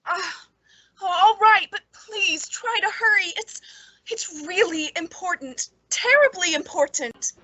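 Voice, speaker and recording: An actress playing an anxious, reclusive spinster with a hesitant, fretful delivery in an old-time radio suspense drama. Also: female voice, very high voice, animated, slow, sharp tone, no room sound, exaggerated voice, low-quality recording. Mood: pleading, urgent. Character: anxious reclusive spinster, the town's queer one.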